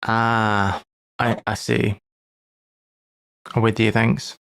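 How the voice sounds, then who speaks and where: Man musing aloud, slightly creaky voice. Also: on the low side, little pitch movement, a bit bright. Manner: musing aloud in short, halting fragments. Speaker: streamer. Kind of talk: casual live-stream chat while solving crosswords.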